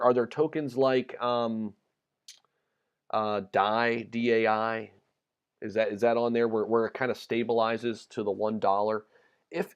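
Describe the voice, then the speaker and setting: A man from the United States hosting a casual crypto livestream, reading viewer comments with lots of ums and repeats, his voice a little worn. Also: mid-range voice. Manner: relaxed and chatty, many ums and repeated words. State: slightly losing his voice.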